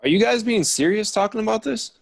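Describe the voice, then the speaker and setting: A man's voice: high-pitched, animated, a little fast, gravelly, exaggerated voice. A man reading an uneasy, joking teen boy. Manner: uneasy, quipping. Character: nervous, joking teenage boy. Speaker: actor in a table reading.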